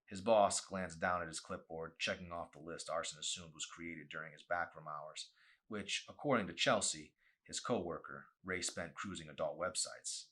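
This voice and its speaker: Guy reading a novel out loud, really deep but sharp voice, dramatic and intense. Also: moderate pace, husky. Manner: intense, theatrical delivery. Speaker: author reading own novel aloud. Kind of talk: dramatic audiobook narration.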